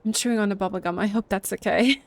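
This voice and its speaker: A woman streaming a game playthrough with casual, chatty commentary, musing and halting as she goes. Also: mid-range voice. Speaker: streamer, let's player. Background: game music.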